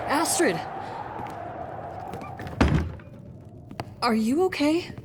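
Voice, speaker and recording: Concerned, higher-voiced townswoman. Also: big pitch swings, normal speed, noisy background. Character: concerned, soothing townswoman looking after a friend. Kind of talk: dramatic video game voice acting.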